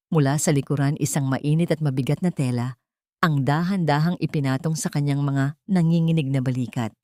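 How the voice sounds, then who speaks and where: Low-voiced Filipino woman narrating drama. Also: a little mellow, no echo, clean studio sound. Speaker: storyteller. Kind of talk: scripted dramatic story narration.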